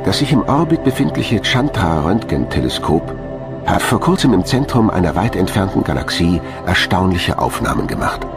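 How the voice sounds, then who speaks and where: A man's voice: sits low, big pitch swings, moderate pace, vocal fry. A man reading scripted narration for a science documentary as a studio voice-over. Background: background music.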